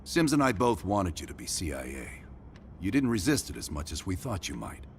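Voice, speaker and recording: An actor playing a hardened, menacing CIA interrogator in a video game, gruff, commanding and given to swearing. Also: male voice, low-pitched, sing-song, fairly quick, a little hiss or hum, exaggerated voice. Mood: grim, controlled. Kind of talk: dramatic video game cutscene acting.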